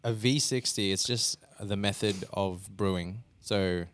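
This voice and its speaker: A young man co-hosting a podcast, chatting easily and explaining things at a relaxed pace. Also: lowish voice, a little slow, dry recording. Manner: easygoing, explanatory. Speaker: podcast co-host. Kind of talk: casual chat.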